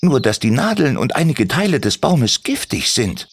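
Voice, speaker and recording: A man voicing a small, kind male kobold healer in a children's story, eager and gently questioning. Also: medium pitch, sing-song, moderate pace, slight background noise, pitched above the performer's own voice. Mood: caring.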